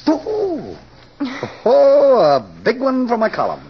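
A man playing a cocky, wisecracking Broadway gossip columnist in husky, flip 1940s radio style. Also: extremely high pitch, huge pitch swings, heavily acted. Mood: mocking. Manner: flip, fast-talking wise guy. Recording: dull old radio recording.

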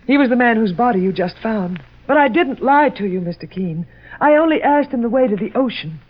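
Defensive young woman in an old radio play, high voice, pleading, dull recording. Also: animated, brisk. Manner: earnest, pleading, proper. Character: earnest, defensive young female murder suspect, lovelorn. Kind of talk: old-time radio drama acting.

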